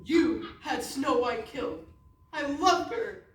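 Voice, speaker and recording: A woman's voice: high-pitched, expressive, slow. A woman acting a vengeful, lovelorn fairy-tale avenger in a live readers theatre comedy. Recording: very echoey room, distant mic.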